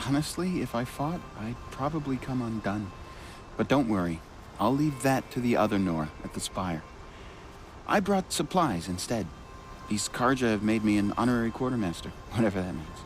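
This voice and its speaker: A man playing a friendly, modest young villager in a video game, speaking informally and gently. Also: medium pitch, expressive, moderate pace, slight background noise, natural voice. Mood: friendly, light. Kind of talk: video game cutscene acting.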